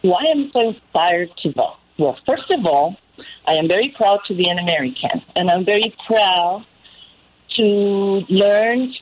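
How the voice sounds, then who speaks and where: A woman's voice: mid-range voice, expressive, measured pace, breathy edge. A woman with a Puerto Rican accent giving impromptu personal testimony as a guest, earnest and oratorical, often in threes. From Puerto Rico. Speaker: panel guest. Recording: dull, lo-fi call with noise.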